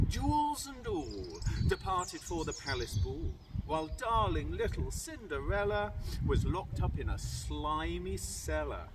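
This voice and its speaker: A man with a British accent reading rhyming verse aloud to children in a theatrical, emphatic way. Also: fairly high pitch. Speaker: primary school teacher reading aloud. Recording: distant microphone, faint background noise.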